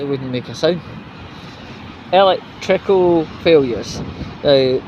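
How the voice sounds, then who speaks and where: Scottish guy chatting away on a walk, kinda high voice, swears loads, stop-start talk, traffic noise all round. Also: lo-fi sound. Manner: sweary, irreverent, halting delivery with pauses. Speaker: vlogger. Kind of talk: rambling casual walking vlog, chatty. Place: outdoors on a city street. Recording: noisy outdoor street with traffic.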